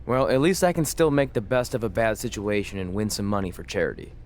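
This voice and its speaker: A man's voice: on the low side, fast talker, a little mellow, slight background noise, natural voice. A man playing a grumbling, sardonic wrestling champion in a video game. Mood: resigned.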